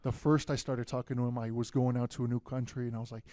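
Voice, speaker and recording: A young man telling stories in a relaxed podcast chat, with many fillers as a guest. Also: mid-range voice, fast talker, no room sound, studio quality. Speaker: guest, future pastor. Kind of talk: casual podcast conversation.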